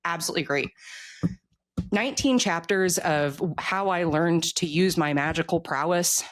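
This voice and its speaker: An American woman in her forties talking casually as an interview guest, telling stories in an animated way. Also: lowish voice, moderate pace, no echo. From United States. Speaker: author guest, military veteran.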